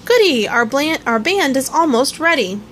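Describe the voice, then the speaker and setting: A woman's voice: sits high, big pitch swings, moderate pace, a bit bright, a little hiss or hum. A woman voicing a simple, good-natured male bear from a children's storybook, speaking in singsong rhymes. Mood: delighted.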